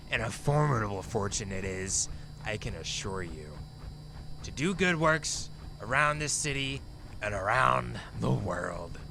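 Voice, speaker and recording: An actor playing a menacing, theatrical rich heir who swings between sweetness and mockery in an audio drama, recorded with amateur noise. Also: male voice, mid-range voice, creaky. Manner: mocking, grandiose, theatrical.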